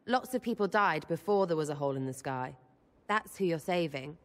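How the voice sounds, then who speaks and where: A blunt young elf woman in a fantasy game, with a slightly low voice. She is irreverent, slangy and mocking, and her words ring with long reverb. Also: animated, fairly quick. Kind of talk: video game dramatic acting.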